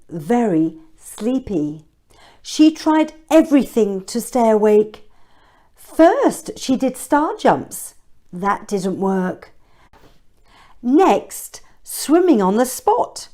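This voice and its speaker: A woman's voice: medium pitch, a little mellow. A woman reading a children's story aloud expressively, with chatty questions and asides for young listeners.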